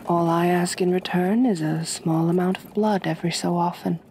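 A woman playing a kind, possessive aristocratic vampire mistress in an ASMR roleplay, speaking gently in a measured, genteel, soothing way. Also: lowish voice, steady tone, slight background noise, lightly acted. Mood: calm, coaxing.

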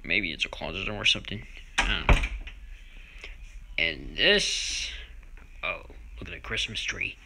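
A man's voice: low voice, measured pace, creaky, pauses often, sharp tone, slightly rough. A man giving a casual, improvised house tour, speaking hesitantly and unsurely as he films indoors on a handheld camera. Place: indoors, moving through rooms of a house. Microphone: handheld phone or camera mic. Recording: noisy handheld home video, clicks.

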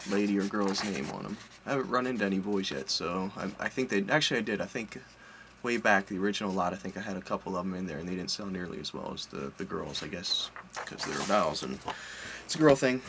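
Casting: man in his forties, lowish voice, offhand and chatty, webcam audio with faint room hum. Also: quick pace. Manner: chatty, rambling, self-correcting, offhand. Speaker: collector and online reseller. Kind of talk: casual vlog update. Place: home office full of collectibles, indoors. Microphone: webcam at desk, seated.

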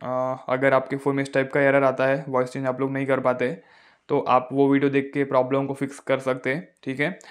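A man hosts a casual tech tutorial. His mid-pitched voice stays very even, with little rise or fall as he explains each step. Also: a little fast. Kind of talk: casual phone tutorial narration.

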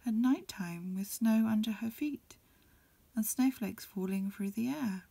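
A woman reading a storybook aloud as ASMR in a breathy, mostly whispered voice, a little hesitant, with small stumbles. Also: a little high, a little slow, mellow, no room sound. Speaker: amateur reader. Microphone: close recording, lo-fi home setup.

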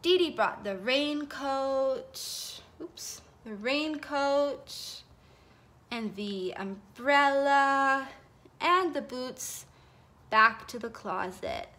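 A young woman telling a felt board story for children at home, expressive and sing-song, with gasps and whispers, recorded on a phone held over the board. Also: high voice, very slow. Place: home living room, indoors. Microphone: phone camera held over the felt board.

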